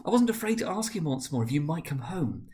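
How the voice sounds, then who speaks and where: A man's voice: fairly high pitch, very animated, fairly quick, dark tone, voice pushed up. A man voicing a tiny, loving, gleeful little girl in a storybook reading, with eager childish affection. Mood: gleeful, tender.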